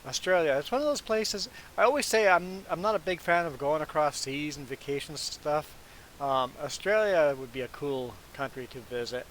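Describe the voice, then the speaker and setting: A man from Canada whose first language is Low German, chatting casually as he hosts a livestream of a farming game. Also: lively intonation, moderate pace, a bit bright, poor audio. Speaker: livestream gaming host. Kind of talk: casual chat while streaming a farming game. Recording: clear background hiss.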